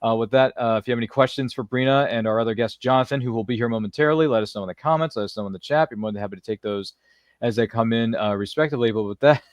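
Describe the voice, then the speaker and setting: Quick, chatty male podcast host, dry sound. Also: mid-range voice, no room sound, lo-fi sound. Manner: chatty, jokey, effusive. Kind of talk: casual live interview chat.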